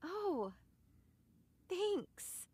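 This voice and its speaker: A woman's voice: very high voice, very animated, extremely slow, warm tone, heavily acted. A woman voicing a kind, reserved and steadfast female soldier in an English-language video game. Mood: surprised, grateful.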